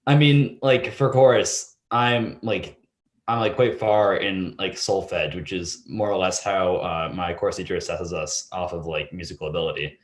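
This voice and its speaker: A teenage boy talking casually as a podcast guest, rambling and full of hesitant fillers. His voice sits a bit low. The room adds a noticeable echo. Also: steady tone, moderate pace. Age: teens. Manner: rambling, heavy use of "like", hesitant. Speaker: student guest. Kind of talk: casual interview answers.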